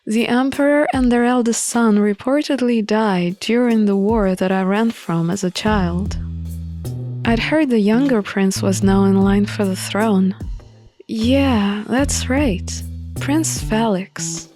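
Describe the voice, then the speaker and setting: A woman's voice: medium pitch, slow. A woman reading first-person story narration for a visual novel playthrough. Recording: music under the voice.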